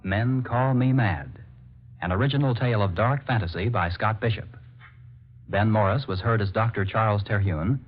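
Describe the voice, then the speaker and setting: Low-voiced vintage American radio announcer. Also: male voice, highs cut off, soft background music. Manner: formal, measured. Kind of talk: 1940s radio announcing, scripted credits.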